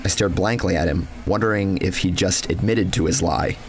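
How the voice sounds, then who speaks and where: Low voiced guy narrating a creepy short story, first person, measured n cynical, dramatic. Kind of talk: scripted first-person horror fiction narration with acted dialogue.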